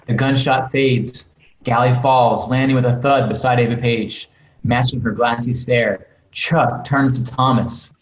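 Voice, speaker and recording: A man's voice: mid-range voice, steady tone, measured pace. A man reading stage directions dramatically with casual asides at a table read over a video call. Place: indoor room on remote video call. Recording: echoey room, clearly noisy video call.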